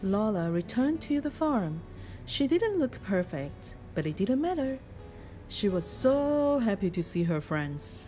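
A woman reading a children's picture book aloud in an expressive, deliberate storytelling manner. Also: mid-range voice, faint music behind. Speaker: storybook reader.

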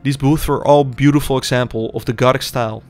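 A young man giving measured, scripted documentary narration as an urban explorer. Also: mid-range voice, fairly even intonation, a little mellow, faint music behind. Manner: measured, explanatory delivery.

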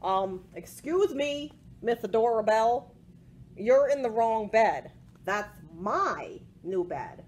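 A woman voicing an excitable male pet dog in a children's storybook, begging and barking out orders for his bed. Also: on the high side, slow pace, slight background noise, voice pushed down. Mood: polite, firm. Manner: howling, barking out commands, whining pleas.

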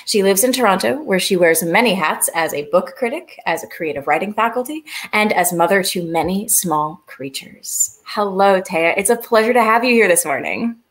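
A woman's voice: medium pitch, animated, normal speed, hardly any pauses. A young woman hosting an online festival, reading scripted announcements in an upbeat way from a video call at home. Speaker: festival host. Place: living room at home.

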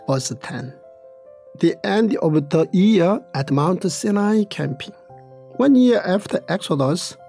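A narrator reading a scripted Bible study in a measured, deliberate way. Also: mid-range voice, gravelly, darkish tone.

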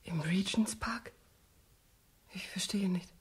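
An actress playing a polite, anxious young woman in a German radio drama, with a husky, breathy voice. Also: medium pitch, takes it slowly. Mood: shocked.

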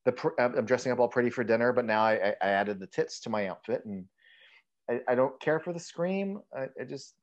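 A man in his thirties chatting casually as a co-host about films, halting and sweary, on a video call from home. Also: medium pitch, lively intonation, lo-fi sound. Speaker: podcast co-host discussing horror films. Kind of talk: casual chat.

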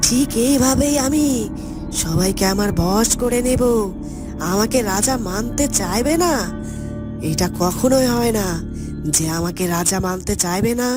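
A power-hungry sorcerer king voiced by a woman boasts and gives orders in a fairly high voice. The delivery is dramatic cartoon villainy, deliberate and domineering. Music runs loudly underneath. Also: lightly acted. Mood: menacing. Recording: loud music bed.

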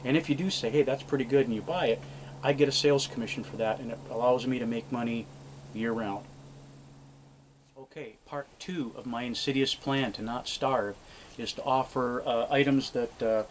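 A man's voice: medium pitch, fairly even intonation, normal speed, low-quality recording. An older man in his sixties, chatting casually to camera from an outdoor cabin porch in a rambling, folksy way with plenty of fillers. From Alaska. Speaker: homesteading vlogger. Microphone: camera mic at close range. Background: faint outdoor noise, passing airplane.

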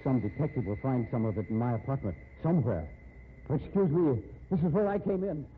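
A man's voice: medium pitch, animated, fairly quick, lightly acted. An actor playing a resigned, sardonic man accused of murder in a 1950s radio mystery. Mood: bitter sarcasm. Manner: sardonic, fatalistic. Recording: tinny, noisy old radio recording.

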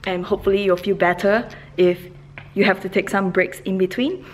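A woman's voice: mid-range voice, fairly even intonation, moderate pace, slight background noise, low-quality recording. A young woman, a fitness vlogger, chatting casually to camera in a large indoor gym studio, tired after her workout. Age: young adult. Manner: chatty, loose, with frequent fillers.

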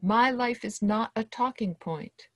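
A woman's voice: on the high side, a little slow, no echo, clean studio sound. A woman in her sixties, a professor and guest reader, presenting and reading a personal monologue aloud over a video call. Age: 60s. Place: small dim wood-walled room indoors.

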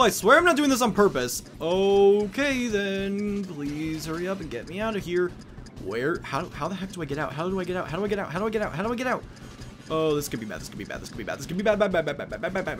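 A man's voice: high-pitched, very animated, music in the background. Chatty man doing game commentary. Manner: chatty, self-mocking, quick asides. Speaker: let's play host.

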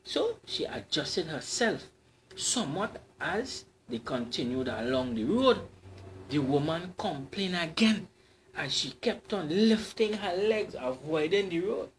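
A man reading a folktale aloud as a children's storyteller, expressive and repeating key points. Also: on the high side, unhurried, a little hiss or hum, poor audio. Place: library storytime.

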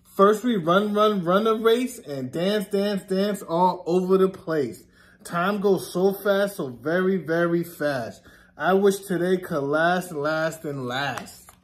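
A man in his thirties, a teacher reading a children's picture book aloud in a deliberate sing-song way, stressing repeated words. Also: high voice. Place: classroom, indoors.

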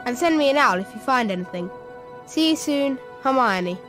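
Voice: high-pitched, animated, moderate pace, over music. A performer playing an earnest, curious young schoolboy wizard in a video game. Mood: earnest, reading aloud.

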